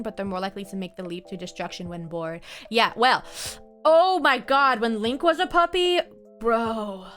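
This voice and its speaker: Young female streamer, bright and clear voice, rambling chatty delivery with frequent laughter, light music underneath. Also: mid-range voice. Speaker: game streamer. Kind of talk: casual chat while reading viewer comments.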